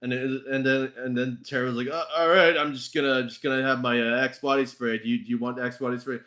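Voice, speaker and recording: Guy on a podcast call, bright forward voice, rambles and swears, keeps restarting. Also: medium pitch, fairly even intonation, fast talker. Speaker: podcast panelist and fandub voice actor. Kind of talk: casual group chat about a game fandub.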